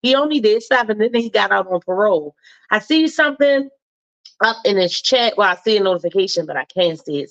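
A woman's voice: medium pitch, vocal fry, highs cut off. A woman hosting a live talk show, chatting in a casual, slangy and emphatic way, a little stuffed up. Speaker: host.

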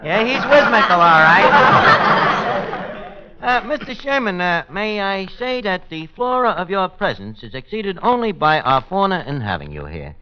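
A muffled, noisy vintage radio recording carries a wisecracking, rough-edged comic regular guy. His voice sits high and swings around a lot, with colloquial, stumbling phrasing in 1940s comedy style. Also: sing-song, a little slow, bright, forceful tone, exaggerated voice. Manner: hesitant, colloquial, malapropism-prone. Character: Archie, wisecracking, unrefined tavern man who mangles words. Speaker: comic host of a radio show.

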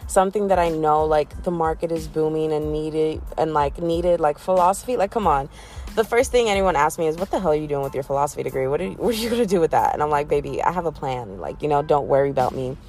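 A woman in her early twenties hosting a casual solo podcast, chatty and self-deprecating, often jumping up in pitch with giggles. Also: warm tone. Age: 20s. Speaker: podcast host. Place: in a car.

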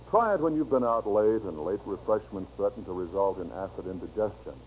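Animated American radio ad announcer, male. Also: lowish voice. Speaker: commercial announcer. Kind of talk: scripted 1940s-style radio advertising read. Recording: faintly noisy vintage radio recording.

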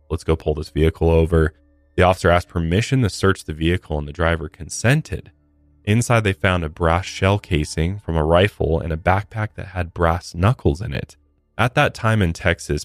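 Man, podcast co-host, true crime storytelling, very deep voice, dry recording. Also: no room sound. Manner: conversational, reads case details with asides.

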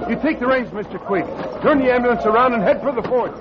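An actor playing an excitable, alarmed cavalry corporal in a radio western, shouting urgently. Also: male voice, very high voice, fast talker. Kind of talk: 1950s radio western acting. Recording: dull, very noisy old radio, background clamor.

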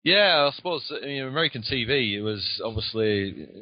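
A man's voice: medium pitch, lively intonation, brisk, no room sound. A middle-aged man with a Lancashire accent, a guest author speaking in a hesitant, musing way and hedging with "I suppose". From England. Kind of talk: casual interview chat. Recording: dull lo-fi sound.

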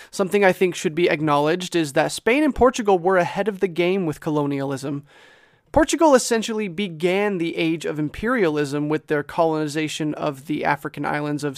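A man with a slightly high voice narrates a history episode from a script, keeping it conversational and dropping in small self-deprecating asides. The recording is close and dry with no room sound. He sounds like a podcast host talking straight into his mic. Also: dry recording.

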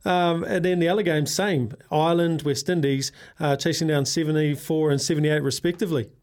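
A man co-hosts a sports radio show, friendly and joking, calling his guest mate as he asks questions. His voice sits a little higher than most men's. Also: fairly even intonation, fairly quick, darkish tone.

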